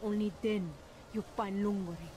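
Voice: medium pitch, steady tone, unhurried, dark tone, a little hiss or hum, natural voice. A stern alien warrior, acted out. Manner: stern, formal broken speech. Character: proud Na'vi warrior, bitter and honorable.

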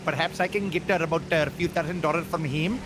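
A man's voice: a little high, steady tone, quick pace, close to an everyday voice. A man voicing an overly polite customer with a put-on Indian accent, calling everyone sir, over noisy stream audio. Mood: polite, eager. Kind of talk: roleplay phone call in a game.

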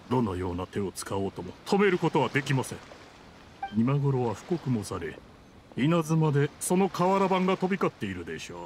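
A man's voice: mid-range voice, close to an everyday voice. A man playing a scheming, easily riled official in a Japanese anime game, formal and pompous with a defensive, indignant manner. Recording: game dialogue over ambient scene noise. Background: game scene ambience.

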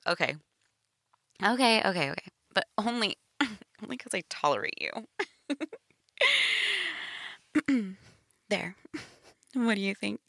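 A woman's voice: fairly high pitch, vocal fry, sharp tone, dry recording, studio quality, full character voice. A young woman playing a shy, teasing goth stepsister in an intimate audio role-play, casual, hesitant and giggly. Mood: giggly, flustered.

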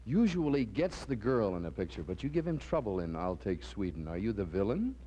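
A man in his thirties hosting a 1960s television interview in a studio, holding a handheld mic, with brisk, genial questions. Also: on the low side, big pitch swings, warm tone. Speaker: TV show host. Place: TV studio with curtain backdrop. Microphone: handheld stick mic. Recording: lo-fi 1960s TV broadcast with light hiss.

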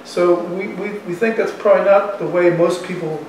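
A man's voice: high-pitched, fairly even intonation, normal speed, a little mellow. A man in his sixties, a professor giving an informal lecture in a colloquial, conversational way, thinking aloud. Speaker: university economics professor. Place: university classroom in front of chalkboard. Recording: echoey classroom, distant mic, faint noise.

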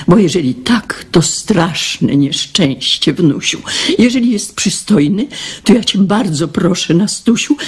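An actress playing a fretful, nostalgic blind old grandmother in a radio drama, with a husky, aged voice and a lamenting, admonishing manner. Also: medium pitch, exaggerated voice. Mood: anxious warning.

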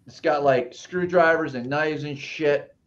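A man's voice: fairly high pitch, steady tone, a little slow, highs cut off. A man presenting auction lots on a live video call, blunt and salty as he hurries them along. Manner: blunt and profane. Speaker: co-host.

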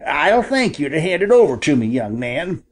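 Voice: medium pitch, sing-song, moderate pace. A reader voicing a persistent grown man, a hunter who coaxes and bargains with a boy, in an audiobook. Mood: coaxing. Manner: coaxing, falsely good-natured. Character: persistent adult male hunter, sly and wheedling. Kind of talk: audiobook character voice.

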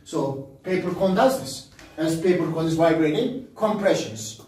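An older man with a Turkish accent explains a lesson in a classroom, in a high-pitched voice. He goes step by step in short phrases and often repeats them. He is picked up from a little distance, and the room rings with heavy echo. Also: animated, unhurried, a little mellow. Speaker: physics teacher. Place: small indoor classroom at a smartboard. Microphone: camera several metres away. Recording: very echoey room, distant microphone.

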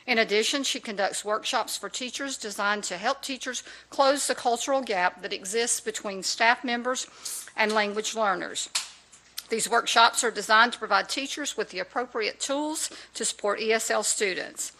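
A woman's voice: fairly high pitch, normal speed, pushed and bright, rough recording. A woman reading a prepared formal introduction at a public board meeting into a desk microphone in a large room. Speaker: school official introducing a teacher.

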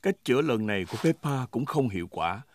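A man narrating a children's cartoon as a lively storyteller for small children. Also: mid-range voice, fast talker, close to an everyday voice. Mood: storytelling.

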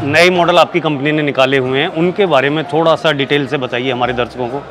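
A man's voice: fairly high pitch, steady tone, fairly quick. A man, hosting an on-location interview and affirming what he is told. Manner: repeats and affirms answers. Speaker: interviewer, channel host. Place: indoor trade fair booth. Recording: busy exhibition hall crowd, background music. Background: crowd chatter and music.

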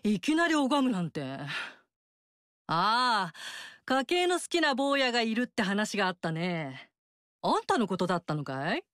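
A woman's voice: mid-range voice, big pitch swings, measured pace, a bit bright, no echo. A brash fire-goddess warrior woman. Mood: teasing. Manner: bold, teasing, big-sister swagger. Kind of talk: studio game dialogue. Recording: dry studio recording.

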